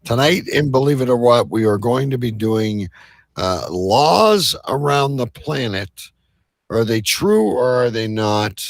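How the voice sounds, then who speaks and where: A man's voice: mid-range voice, lively intonation, measured pace, no room sound, studio quality. A man hosting a live quiz show, announcing to the audience like a showman. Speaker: game show host.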